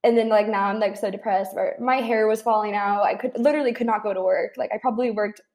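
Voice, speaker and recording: A woman's voice: mid-range voice, fairly even intonation, quick pace, a little mellow. A woman in her twenties talking candidly in casual chat, with many "like" fillers. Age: 20s.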